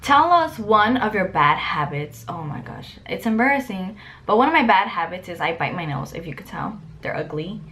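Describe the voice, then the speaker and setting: A woman's voice: medium pitch, big pitch swings, normal speed, fairly bright tone, a little hiss or hum. A young woman from Mexico, Spanish her first language, chatting casually to camera in her bedroom and answering get-to-know-me questions with lots of "like" fillers. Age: young adult. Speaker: vlogger. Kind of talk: casual Q&A chat. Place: bedroom, indoors. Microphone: camera close up.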